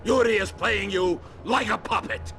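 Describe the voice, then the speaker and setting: An actor playing a hot-tempered older Soviet general in a game cutscene, talking loudly and indignantly, often shouting. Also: male voice, high-pitched, normal speed, faint music behind. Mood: furious. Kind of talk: video game cutscene acting.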